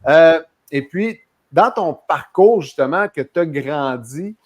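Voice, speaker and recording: A podcast host, a man with a Quebec French accent, leads the interview in a casual, chatty way. His voice sits a little high for a man. He hesitates often and restarts his sentences as he goes. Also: expressive, takes it slowly.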